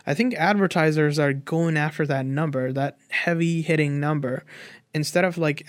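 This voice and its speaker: Man in his twenties, slightly high-pitched voice. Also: dry recording. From Nepal. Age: 20s. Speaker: interview guest. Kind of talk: casual conversational opinion.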